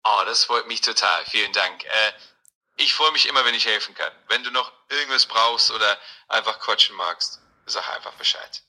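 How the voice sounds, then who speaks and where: A man's voice: lowish voice, normal speed, bright, forceful tone, slightly rough, rough recording. A man's synthetic chatbot voice, polite and neutral, played from a phone into the conversation. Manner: polite, neutral, overly agreeable. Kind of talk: scripted-sounding assistant replies. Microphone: played from a phone speaker.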